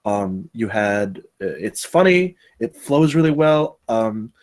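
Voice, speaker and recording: A man's voice: lowish voice, big pitch swings, slow, darkish tone. A man giving casual spoken feedback on a script, chatty and rambling, often interrupting himself. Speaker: table read participant giving script feedback.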